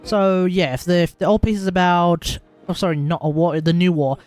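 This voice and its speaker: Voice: medium pitch, fairly even intonation, normal speed, darkish tone, faint music behind. A streamer with an Australian accent talking casually through a game live stream, rambling and often correcting themselves. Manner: rambling, self-correcting, chatty.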